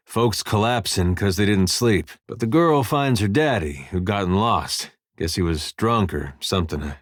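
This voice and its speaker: Low, folksy, wry farmer-soldier. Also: male voice, no room sound. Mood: thoughtful storytelling. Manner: laid-back, drawling folksy phrasing, dry humour. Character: easygoing folksy farmer turned soldier, wry, loyal, male. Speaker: video game companion character. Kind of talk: game dialogue and battle barks.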